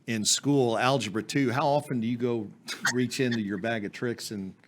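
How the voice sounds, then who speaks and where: A man's voice: mid-range voice, fairly even intonation, normal speed. A man hosting a podcast interview, effusive in his praise and rambling, full of "uh" and restarts. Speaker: podcast host. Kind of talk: casual interview.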